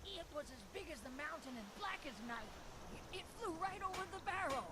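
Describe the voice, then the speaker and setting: Shrill, frightened old village mother. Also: animated, a little fast, noisy background. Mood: alarmed, insistent. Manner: agitated, pleading, insistent. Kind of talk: fantasy video game character acting.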